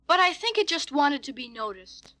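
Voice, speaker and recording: A woman's voice: high-pitched, sing-song, normal speed, sharp tone, full character voice. A woman voicing an earnest, eager small boy in a children's read-along storybook. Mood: thoughtful.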